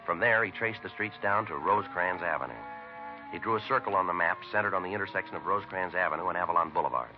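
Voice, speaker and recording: Guy playing deadpan american police sergeant, clipped and fast, old dull radio recording w music behind. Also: low voice, lightly acted. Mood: flat, businesslike. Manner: deadpan, terse, matter-of-fact. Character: stoic, businesslike male police sergeant narrating a case. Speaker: lead actor and narrator. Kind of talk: 1950s radio police drama, clipped scripted narration.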